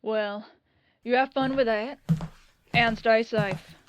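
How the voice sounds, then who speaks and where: A woman's voice: a little high, fairly even intonation, slow, slight background noise. A woman playing a plain-spoken, breezy police detective lieutenant in an audio roleplay, talking in a casual, colloquial way. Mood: easygoing.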